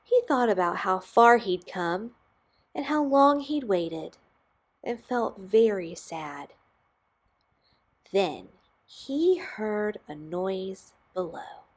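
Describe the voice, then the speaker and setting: Slow, expressive woman reading a picture book. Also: mid-range voice. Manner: slow, dramatic pauses with emphasis on key words. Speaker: storybook reader. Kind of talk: expressive children's picture book read-aloud.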